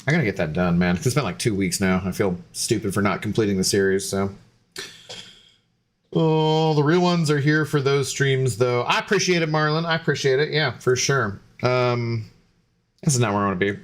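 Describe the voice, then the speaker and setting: A man's voice: mid-range voice, moderate pace. A young man, a game streamer, chatting loosely with viewers while tipsy, rambling with many fillers and restarts. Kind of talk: casual stream chat to viewers.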